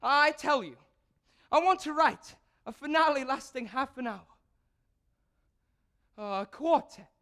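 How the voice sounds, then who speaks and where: An excitable young composer played by a woman in a stage drama. The voice is high and swings widely, with unhurried, stammering phrases that keep breaking toward giggles. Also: heavily acted. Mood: rapt, building. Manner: stammering, breathless bursts, giggly. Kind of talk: theatrical stage acting.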